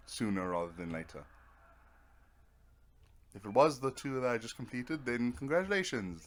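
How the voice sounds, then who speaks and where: A man giving casual, rambling let's play commentary as he streams a video game, half talking to himself with playful little words. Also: fairly low pitch. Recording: clear background noise from nearby TV. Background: Netflix playing in the background.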